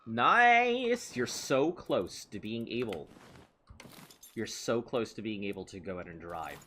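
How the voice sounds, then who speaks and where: A man's voice: lowish voice, sing-song, slow, pushed and bright. A man giving casual, playful commentary while playing a video game, rambling and chatting with someone in the room beside him. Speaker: let's play host.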